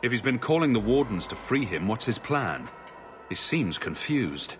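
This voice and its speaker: An actor playing a resolute, earnest champion in a video game, talking plainly and bluntly. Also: male voice, mid-range voice, very animated, moderate pace, natural voice. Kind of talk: video game drama acting.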